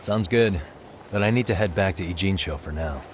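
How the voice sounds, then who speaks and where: An actor playing a calm, sharp male detective in a video game cutscene, talking through a case in a level-headed, deductive way. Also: low voice, fairly quick, a little hiss or hum, close to an everyday voice. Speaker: private detective. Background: background music.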